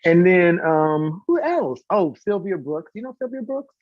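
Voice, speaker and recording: A man chatting casually as a guest singer, talkative and effusive, rambling excitedly about his plans and fellow performers. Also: high voice, measured pace, no room sound, highs cut off. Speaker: guest, singer and concert organiser. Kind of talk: casual live chat, talkative promotion.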